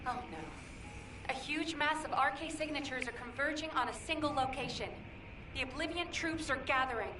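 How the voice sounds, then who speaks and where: A woman's voice: high-pitched, normal speed, natural voice. A woman voicing a formal, resolute young warrior in a video game, speaking earnestly with old-fashioned phrasing. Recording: echoey, distant, loud noise.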